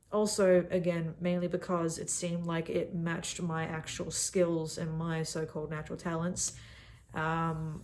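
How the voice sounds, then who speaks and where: Young Aussie woman, slightly low voice, cocky self-deprecating vlog delivery. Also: darkish tone. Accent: Australian. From Australia. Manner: brash and self-mocking, calls the viewer sweetheart. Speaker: vlogger. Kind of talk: casual talk to camera. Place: cabin.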